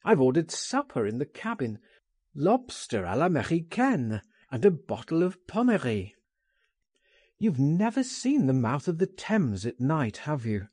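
A man voicing a bold, impatient gentleman in a storybook, insistent and emphatic as he persuades. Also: fairly high pitch, big pitch swings, unhurried, breathy edge, dry recording, studio quality, heavily acted. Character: bold, impatient gentleman urging his friend to flee.

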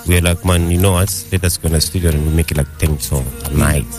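Deep-voiced halting East African man. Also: fairly even intonation, darkish tone, faint music behind. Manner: halting, repeats words, non-native English. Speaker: musician guest. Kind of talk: casual interview answers.

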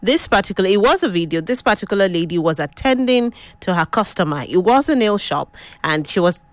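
A woman's voice: medium pitch, animated, a little fast, no room sound. Nigerian female radio host, chatty, asks the audience questions; dry studio sound. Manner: conversational, asks listeners questions. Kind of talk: radio talk show hosting.

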